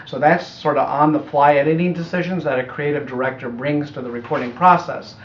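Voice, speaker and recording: A man's voice: a little high, fairly even intonation, fairly quick. Middle-aged American man in an echoey room. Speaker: audiobook producer being interviewed. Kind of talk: conversational interview answers. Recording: echoey room, muffled, faint noise.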